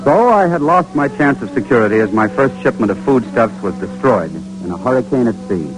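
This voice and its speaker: An actor playing an earnest, struggling young husband with big ambitions, in dramatic 1940s radio style. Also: male voice, mid-range voice, animated, brisk, over music, close to an everyday voice, poor audio. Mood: reflective.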